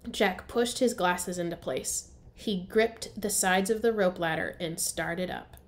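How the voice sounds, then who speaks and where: A woman's voice: fairly low pitch, a little slow. A woman, a primary school teacher, reading a storybook aloud in simple phrasing for young children. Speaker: first grade teacher.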